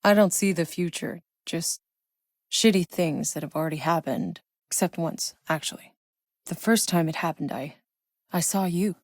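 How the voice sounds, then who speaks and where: A woman performs a battle-worn female soldier from a video game. Her voice sits low for a woman, and she talks bluntly with dry, sardonic wit. She comes across as tough and hardened. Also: moderate pace, no echo, natural voice. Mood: serious, probing. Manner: blunt, wry, swearing. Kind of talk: video game character voice lines.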